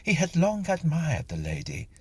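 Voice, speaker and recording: A man reading a whimsical literary ghost story aloud in an expressive, theatrical and precise storyteller's manner. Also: fairly high pitch, normal speed, breathy edge. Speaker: story narrator and reader.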